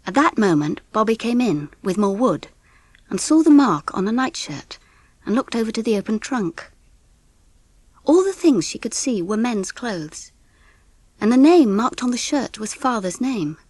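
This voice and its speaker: A woman playing a gentle English mother in a dramatised audiobook, narrating and speaking in a tender, measured way. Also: mid-range voice, dry recording. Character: gentle, weary English mother recounting family events. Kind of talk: scripted first-person narration and audiobook drama.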